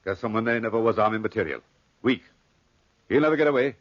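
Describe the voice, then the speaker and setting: A man playing a gruff, scornful army major in a 1940s radio drama, brusque and commanding. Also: fairly low pitch, expressive, fast, no echo. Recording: muffled, dry 1940s radio recording.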